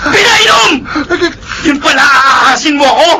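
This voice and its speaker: High-pitched, furious vengeful father. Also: animated, normal speed, creaky, sharp tone, heavily acted. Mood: enraged. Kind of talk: Filipino radio melodrama acting. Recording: very noisy radio drama scene.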